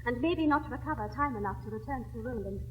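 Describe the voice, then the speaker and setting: Woman playing a brisk, practical young lady. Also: a little high, muffled recording, close to an everyday voice. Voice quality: brisk, urging. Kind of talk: classic stage comedy acting. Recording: reverberant old recording, faint hiss.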